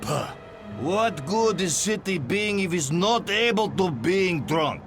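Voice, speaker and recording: An actor playing a boozy, blunt Soviet courier in a video game, with a Russian accent and comically broken English. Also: male voice, high-pitched, lively intonation, slow pace, exaggerated voice. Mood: disgusted. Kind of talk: video game cutscene acting. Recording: faint background music.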